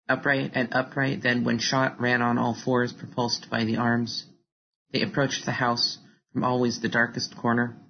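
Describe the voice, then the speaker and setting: A poet reading their own work aloud as a literary recitation, in a slightly dull recording. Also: mid-range voice. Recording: dull recording with faint noise.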